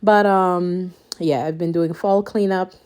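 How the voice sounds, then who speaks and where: A woman's voice: lowish voice, fairly even intonation, takes it slowly, darkish tone, clear, resonant tone. A woman in her thirties from Suriname with a Guyanese accent, chatting casually and a little out of breath, with lots of ums. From Suriname, raised Guyanese. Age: 30s. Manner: rambling, many ums, laughs between thoughts. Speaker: home renovation vlogger. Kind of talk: casual chat to camera.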